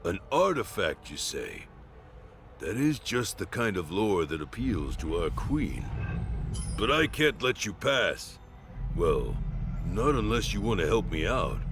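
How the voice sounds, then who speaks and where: A gruff but fair castle guard from a fantasy game, speaking in a low, commanding voice. His theatrical delivery swings widely in pitch, with music playing behind him. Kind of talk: video game character acting.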